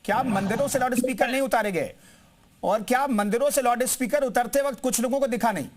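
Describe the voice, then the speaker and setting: Male debate host, very high voice, insistent, cuts in to steer live panel talk. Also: fairly quick, background noise. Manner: insistent, interjecting, repeats guests' names. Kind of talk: live TV news debate moderation.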